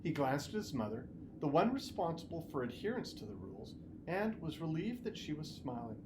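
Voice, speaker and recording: Animated man reading a story aloud. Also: fairly high pitch, brisk. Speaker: school superintendent reading aloud. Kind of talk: expressive audiobook-style story reading. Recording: noticeable background noise and ambience.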